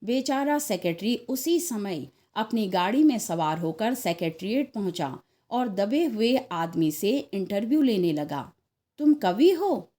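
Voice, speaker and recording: Slow, expressive woman storyteller, mellow. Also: medium pitch. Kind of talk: expressive scripted story narration.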